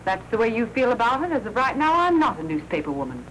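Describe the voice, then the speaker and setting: Spirited American newswoman, crisp and defiant, animated pitch, lightly noisy old film sound. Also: quick pace. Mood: indignant, resolute. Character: spirited, independent female newspaper reporter. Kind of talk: 1940s film noir acting.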